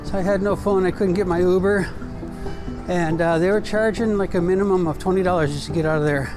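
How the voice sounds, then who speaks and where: A man's voice: high voice, steady tone, fairly quick, over music. A man hosting a casual travel vlog, talking to viewers in a chatty, digressive, rambling way. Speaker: travel vlogger and host.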